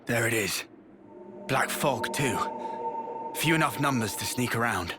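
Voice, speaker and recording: A man's voice: medium pitch, animated, a little slow, bright, forceful tone, faint music behind. A man voicing a blunt, skeptical, laddish young soldier in a game cutscene, casual in manner. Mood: watchful. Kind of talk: video game cutscene acting.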